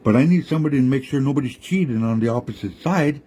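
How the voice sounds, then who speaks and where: A man voicing a sly, smooth-talking male coyote trickster who coaxes and reassures. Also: medium pitch, fast talker, mellow, pitched above the performer's own voice, close to an everyday voice. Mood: scheming. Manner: coaxing, reassuring, easygoing.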